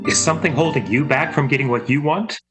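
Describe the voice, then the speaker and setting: A man's voice: fairly high pitch, fast talker. A man reading a scripted advertisement as an announcer. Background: music.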